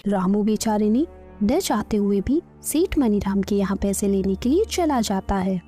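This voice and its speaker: A woman's voice: medium pitch, expressive, a little slow, music in the background. A woman narrating a scripted bedtime story.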